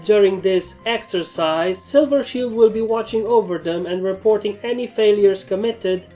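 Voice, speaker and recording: A woman reads a story as its narrator, in scripted narration at a medium pitch. Music runs clearly behind her voice. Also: moderate pace, mellow. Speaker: fan fiction reader.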